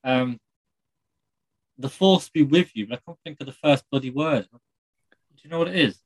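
A man's voice: mid-range voice, normal speed, husky, poor audio. A British man co-hosting a podcast with casual banter. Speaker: podcast co-host.

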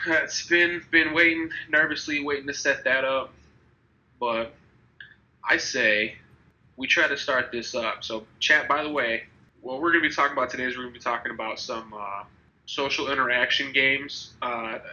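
A man's voice: medium pitch, sharp tone, highs cut off. A young man in his twenties with a slightly congested, nasal voice from a cold, hosting a casual podcast chat and rambling with many restarts. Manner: rambling, restarts, laughs easily. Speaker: podcast host.

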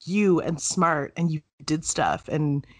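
A woman co-hosting a podcast in casual chat, animated and playful, often laughing. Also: low-pitched, takes it slowly. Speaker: podcast co-host.

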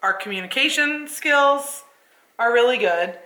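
A woman's voice: a little high, animated, measured pace, bright, forceful tone. A woman in her thirties chatting casually and upbeat as a podcast co-host. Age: 30s. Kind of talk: casual chat.

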